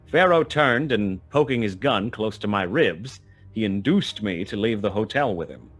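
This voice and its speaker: An actor playing a wry, laconic private detective who narrates a noir radio play. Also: male voice, fairly low pitch, lively intonation, moderate pace, fairly bright tone, husky, faint music behind. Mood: wry, tense narration. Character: hard-boiled male private detective narrating his own story.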